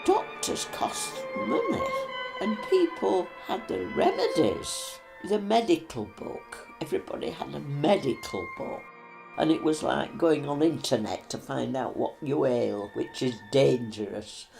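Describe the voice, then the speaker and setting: A woman's voice: sits low, very animated, vocal fry, poor audio. A woman, a former mill worker reflectively recalling daily life. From West Yorkshire. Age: 80s. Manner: reflective, unhurried storytelling. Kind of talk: interview reminiscence. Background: violin music.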